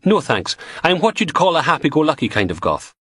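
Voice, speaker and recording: A man's voice: a little high, big pitch swings, a little fast, a bit bright, husky, dry recording, studio quality, voice pushed up, full character voice. A man voicing a playful, teasing young goth woman working as a lab technician in an audiobook.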